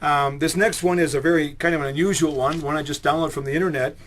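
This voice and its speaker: A man's voice: on the high side, fairly even intonation, quick pace, fairly bright tone. A man voicing an earnest male believer who explains his claims about lake monsters.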